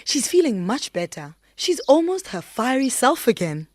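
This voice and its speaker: A woman playing a compassionate but disapproving shopkeeper who is softening, in a radio drama. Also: high-pitched, very animated, normal speed, no room sound, studio quality. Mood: matter-of-fact.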